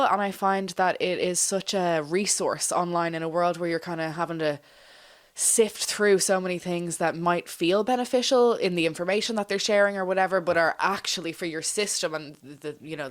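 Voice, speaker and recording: Woman chatting casually, lowish voice. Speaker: podcast co-host and ASMR channel presenter. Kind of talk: casual podcast chat.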